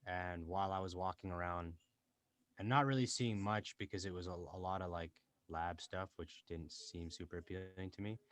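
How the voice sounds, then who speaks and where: Low-voiced young man chatting from a closet studio. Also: normal speed, dry recording. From born in Dubai, raised in Canada. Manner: relaxed, chatty, many fillers and self-quoting. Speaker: guest, entrepreneur and rapper. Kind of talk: casual interview chat. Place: small closet used as home studio.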